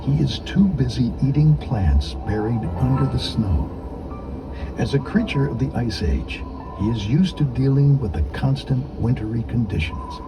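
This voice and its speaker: A man narrating a children's storybook in an expressive, deliberate, scripted storytelling delivery. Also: medium pitch, music in the background, poor audio. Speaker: audiobook narrator.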